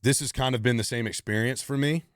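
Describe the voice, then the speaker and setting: A man's voice: mid-range voice, quick pace, no echo, studio quality. A man in his thirties hosting a solo Q&A podcast, talking bluntly and driven, swearing freely. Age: 30s. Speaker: podcast host.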